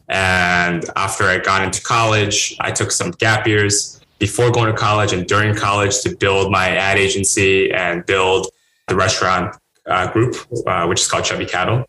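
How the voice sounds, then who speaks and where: Young man from china, native chinese speaker, low even voice, bright tone, roomy echo. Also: slight background noise. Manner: earnest, rambling answers with restarts. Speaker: guest entrepreneur. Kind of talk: casual podcast interview.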